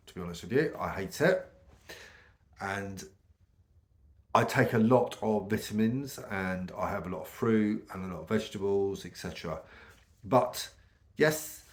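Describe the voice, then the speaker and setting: A middle-aged British man with a croaky voice from a cold, chatting casually to camera in a rambling way with many ums. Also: low voice, moderate pace. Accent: British English. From United Kingdom. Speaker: vlogger.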